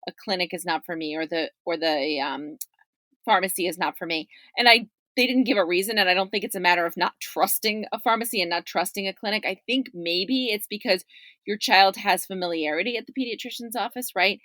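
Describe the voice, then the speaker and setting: A woman's voice: medium pitch, brisk, pushed and bright, dry recording, studio quality. A middle-aged woman talking as a podcast guest in a chatty, emphatic way, often checking in with "right?". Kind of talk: conversational discussion of parents' views.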